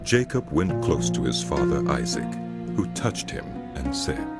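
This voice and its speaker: Male narrator, extremely low voice, animated intonation, dramatic scripture reading, loud music behind. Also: measured pace, creaky.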